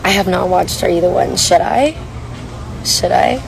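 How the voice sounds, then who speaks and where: A young woman with a hoarse, worn voice chats casually while reading viewer comments on a livestream from a coffee shop. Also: on the low side, moderate pace, background noise. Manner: chatty, rambling, reads comments aloud. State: hoarse, lost voice. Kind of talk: casual chat.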